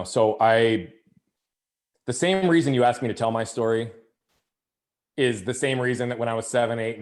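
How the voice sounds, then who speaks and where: Candid, energetic man telling his story. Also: medium pitch. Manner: candid, energetic, frequent restarts and "like". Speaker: podcast guest, speaker and coach. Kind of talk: conversational interview storytelling.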